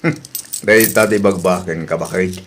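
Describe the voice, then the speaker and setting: A man's voice: low-pitched, fairly even intonation, normal speed, fairly bright tone. A man playing a nagging, suspicious older father figure in a comedy drama, with an Ilocano accent and a questioning manner.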